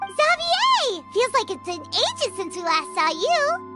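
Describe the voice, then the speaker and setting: Tiny childlike fairy, squeaky high voice, chirpy and eager, video game, loud music. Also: female voice, wildly swooping pitch, slow pace, heavily acted. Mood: chirpy, friendly. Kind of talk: English video game voice acting.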